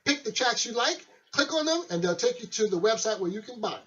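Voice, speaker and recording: A man's voice: high voice, big pitch swings, a little fast, slight background noise. A man hosting and DJing a radio show, talking in a chatty, friendly, loose way. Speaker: radio host and DJ. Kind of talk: casual radio show talk. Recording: dull lo-fi recording.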